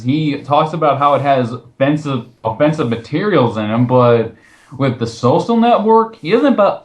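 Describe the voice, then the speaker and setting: A man hosting casual movie commentary in a sarcastic, incredulous manner. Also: mid-range voice. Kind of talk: casual commentary with read-out quotes.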